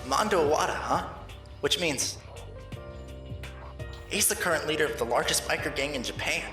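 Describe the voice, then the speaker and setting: A man's voice: a little high, lively intonation, normal speed, close to an everyday voice. A man voicing an earnest, wary teenage schoolboy protagonist in an amateur fan dub. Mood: impressed. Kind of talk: fan dub voice acting, inner monologue. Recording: roomy amateur recording over game music.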